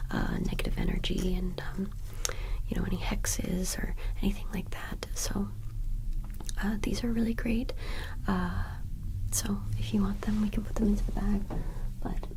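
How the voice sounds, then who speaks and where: Girl doing witch asmr, soft breathy whisper, mellow, kinda hesitant and chatty. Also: mid-range voice, rough recording. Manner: gentle, hesitant, informal explaining. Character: friendly modern witch offering a cleansing ritual. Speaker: ASMR performer role-playing a friendly witch shopkeeper. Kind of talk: ASMR whispered roleplay, addressing the listener.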